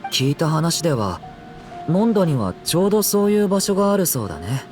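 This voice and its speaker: A man's voice: a little high, very animated, very fast. A man voicing a friendly, curious young companion in scripted fantasy game dialogue. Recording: game dialogue under clear music.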